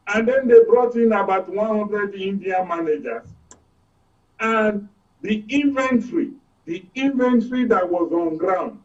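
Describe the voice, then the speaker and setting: A man with a Nigerian accent giving explanatory commentary as an expert guest, in short, emphatic statements. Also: a little mellow. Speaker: oil and gas expert guest. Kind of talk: explanatory political and economic commentary. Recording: distant, dull low-fidelity sound.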